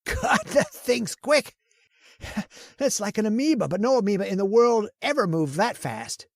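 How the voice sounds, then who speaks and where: A woman's voice: medium pitch, normal speed, dry recording. A woman playing an obsessed, reckless male scientist in an old-time radio horror drama, swinging between wonder and scorn. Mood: amazed. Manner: excitable, marvels and snaps by turns.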